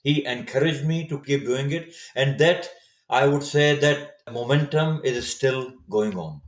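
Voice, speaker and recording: A man in his fifties from Saudi Arabia, a native Urdu speaker, telling his story as a podcast guest, thoughtful and often pausing to restart his words. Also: mid-range voice. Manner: reflective, searching for words with frequent restarts. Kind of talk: casual interview storytelling. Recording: echoey room sound.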